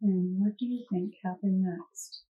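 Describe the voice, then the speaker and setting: Slow-paced woman reading a picture book. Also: medium pitch, phone-quality sound. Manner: expressive, gently prompting. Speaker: puppet show storyteller. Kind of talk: read-aloud picture book with conversational questions.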